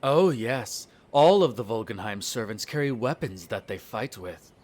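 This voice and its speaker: A man's voice: mid-range voice, expressive, measured pace, darkish tone. A man playing a smug, cruel vampire noble in an audio roleplay, speaking in a haughty, condescending, formal drawl. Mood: haughty, musing. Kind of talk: scripted audio roleplay acting.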